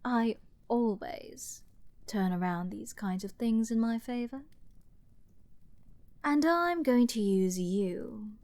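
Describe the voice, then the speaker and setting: Woman acting a slow-talking arrogant scheming princess. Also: fairly high pitch, a little mellow. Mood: haughty, sly. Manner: haughty, theatrical, slow and teasing. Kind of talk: dramatic fantasy audio roleplay with ASMR whispering.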